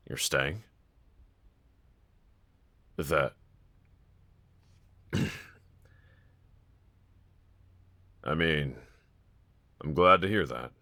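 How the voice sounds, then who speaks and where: A man's voice: very deep voice, a bit bright, exaggerated voice. A man playing a brooding young villain roommate in an intimate audio roleplay, confiding hesitantly and wryly to one listener. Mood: hesitant, grateful. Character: brooding young male villain roommate, self-loathing but softly grateful.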